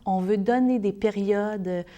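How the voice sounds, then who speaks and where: A woman's voice: mid-range voice, slow pace, mellow. Interview guest: woman, Québécois accent, mid-range voice, earnest spokesperson explaining a service. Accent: Québécois French.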